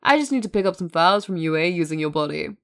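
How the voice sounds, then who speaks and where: Woman as a calm, low-pitched, matter-of-fact man. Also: fast talker. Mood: smug, lying. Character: Aizawa, calm stubborn man, dryly matter-of-fact schemer.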